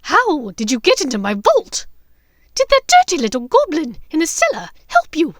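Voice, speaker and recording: A woman voicing a crazed, furious witch in an audiobook story, seething and shouting menacingly. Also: high voice, huge pitch swings, normal speed, no room sound, clean studio sound, voice pushed up. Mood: scornful rage. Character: crazed, furious adult witch interrogating a captive.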